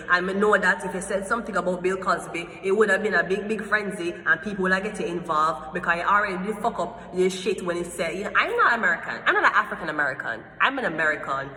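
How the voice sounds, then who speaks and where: A woman's voice: on the low side, fast, hardly any pauses. Blunt Jamaican woman in an echoey room. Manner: blunt, profane, animated storytelling with mimicked exchanges. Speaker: vlogger. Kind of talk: casual rant to camera.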